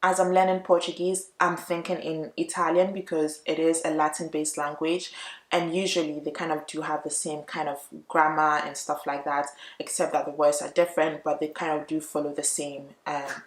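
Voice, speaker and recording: A woman who speaks English natively, chatting casually to viewers as she explains language points, expressive and emphatic, repeating words for emphasis. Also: low-pitched, normal speed. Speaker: YouTube language vlogger. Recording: roomy, echoey room.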